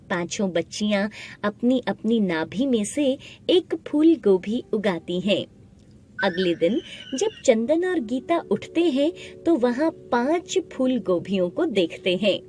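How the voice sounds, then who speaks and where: A woman narrating a scripted animated story, with music playing behind her voice. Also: on the high side, slight background noise. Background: background music.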